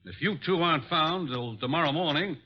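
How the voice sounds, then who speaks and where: A man's voice: on the high side, a little fast, natural voice. An actor playing a cold, desperate gunman and murderer in an old radio drama, menacing and clipped. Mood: smug, desperate. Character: cold, desperate gun-toting murderer holding detectives captive. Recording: dull, band-limited vintage radio recording.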